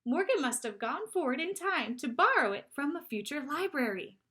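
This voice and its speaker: A woman's voice: sits high, big pitch swings, normal speed, fairly bright tone, voice pushed up. A woman voicing a cautious, bookish young boy in a storybook, earnest and emphatic. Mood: reasoning, amazed.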